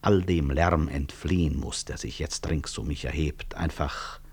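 An actor playing a weary, proud physician-professor in a 1960s German radio drama, husky, reflective and articulate, with wry self-mockery. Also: male voice, extremely low pitch, fairly even intonation, heavily acted. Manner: reflective, articulate, deliberate stage delivery.

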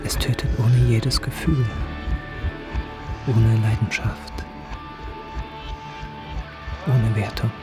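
An actor playing a haunted, deranged killer in a radio drama, hushed and fervent. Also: male voice, lowish voice, steady tone, takes it slowly, over loud music. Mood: entranced. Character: haunted, deranged killer coldly revering pure evil. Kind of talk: German radio drama acting.

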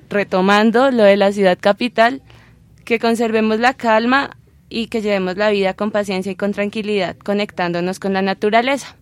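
A woman calmly explaining a topic, with many small fillers. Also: medium pitch. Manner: calm, explanatory, with fillers like "pues". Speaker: presenter. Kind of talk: informational talk.

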